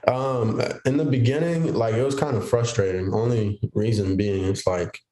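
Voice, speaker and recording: A man's voice: on the low side, steady tone, normal speed, mellow, roomy sound, rough recording. A young man born in Haiti, a football player guest on a podcast, telling his story in an easygoing way. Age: young adult. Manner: easygoing, storytelling with quoted inner thoughts. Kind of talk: casual interview chat.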